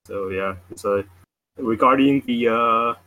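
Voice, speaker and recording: A man's voice: medium pitch, a little slow, sharp tone. A man from Taiwan calling in to a show, talking casually with many fillers and hesitations. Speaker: caller. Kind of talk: casual call-in chat.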